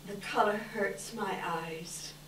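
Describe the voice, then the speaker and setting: Heard from afar in an echoing hall with faint noise, a tender, weary mother acted by a woman speaks gently. She is subdued and brave in her grief, as in a staged reading. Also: medium pitch, slow, lightly acted. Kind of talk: readers theater performance. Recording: distant echoey hall with noise, hum.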